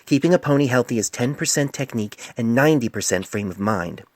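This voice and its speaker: A man voicing a stern, wise older female pony physician in a storybook reading, speaking in a measured, grave, admonishing way. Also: medium pitch, lively intonation, darkish tone, no echo, pitched above the performer's own voice. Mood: earnest, admonishing.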